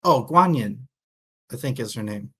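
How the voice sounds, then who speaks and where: A man co-hosting a casual book discussion, thinking aloud in halting phrases and swearing offhandedly. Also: mid-range voice, expressive, slightly rough. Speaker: co-host of a book discussion show.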